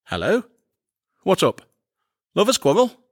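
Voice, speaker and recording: A man voicing an excitable, buffoonish English friend in a comic period audiobook, loud, coarse and melodramatic. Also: sits high, unhurried, dry recording, studio quality, pitched above the performer's own voice, full character voice. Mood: clownish.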